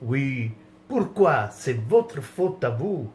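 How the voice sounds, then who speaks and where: A man's voice: medium pitch, big pitch swings, very slow, darkish tone. A man from France in a rambling, indignant rant, with many restarts and trailing phrases.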